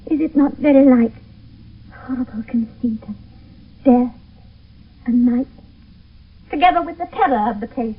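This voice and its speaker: A woman's voice: high voice, a little slow, pauses often. An actress playing a frightened young noblewoman in an intense, theatrical 1970s radio Shakespeare style. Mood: fearful. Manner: intense, theatrical verse delivery. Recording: thin phone-like old radio, distant mic.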